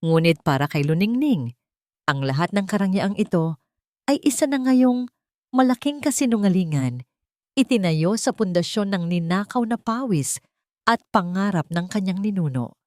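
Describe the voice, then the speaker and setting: A woman narrating a dramatic scripted story in a measured, solemn, deliberate manner. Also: mid-range voice, animated, a little mellow, dry recording, clean studio sound.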